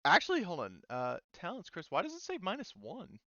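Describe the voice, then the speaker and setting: Casting: male game master, halting and filler-heavy, smooth resonant tone, dull lo-fi sound. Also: high voice, wildly swooping pitch, bright, forceful tone, no room sound. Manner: hesitant, lots of ums and restarts. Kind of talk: running a tabletop roleplaying game.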